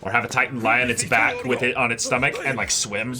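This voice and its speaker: A man hosting a casual reaction show, commenting live in an exclamatory, excitable way and swearing freely. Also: mid-range voice, quick pace. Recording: loud show soundtrack behind voice. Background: music from the watched show.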